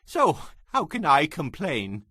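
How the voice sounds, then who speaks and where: A man's voice: fairly high pitch, wildly swooping pitch, slow, pushed and bright, husky, no echo, studio quality, heavily acted. An actor playing a wronged, deferential man pleading earnestly before his king in a dramatized scripture reading. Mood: pleading, devoted.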